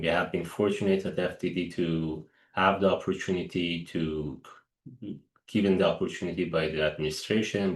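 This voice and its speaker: A man's voice: sits low, echoey room, rough recording. A man from Iran in his thirties, a guest analyst speaking earnestly in a press briefing, often restarting his sentences. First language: Persian.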